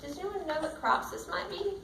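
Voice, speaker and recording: A woman's voice: extremely high pitch, brisk, gravelly, a bit bright. Distant, echoey woman reading to children. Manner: checks understanding with simple questions. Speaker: teacher reading a picture book to children. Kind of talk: read-aloud with questions to young pupils. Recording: roomy, distant mic, faint background noise.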